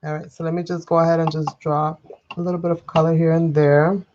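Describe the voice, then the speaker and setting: A woman's voice: sits low, flat delivery, fairly quick, a little mellow, muffled recording, slight background noise. Sniffly woman chatting through a craft stream. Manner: chatty, rambling, talks to viewers as you guys. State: sniffly, under the weather. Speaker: craft livestream host. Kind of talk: live crafting tutorial. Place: home craft desk. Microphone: overhead camera livestream, speaker off-frame.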